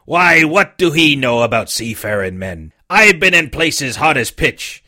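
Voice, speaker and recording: A man voicing a sick old sea captain who pleads and blusters in rough sailor's talk with a weak, feeble voice. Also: a little high, very animated, unhurried, sharp tone, heavily acted. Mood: ranting.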